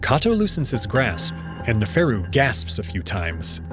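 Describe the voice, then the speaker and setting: A man's voice: mid-range voice, huge pitch swings, unhurried, over music. A man narrating a scripted story in the first person, in a dramatic reading style.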